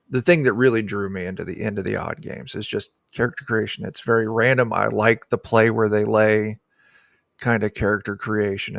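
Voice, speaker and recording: A middle-aged man explaining things casually and haltingly as an interview guest, speaking into a desk microphone. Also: fairly low pitch, gravelly, dry recording, clean studio sound. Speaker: guest, tabletop game designer. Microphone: desk microphone on a video call.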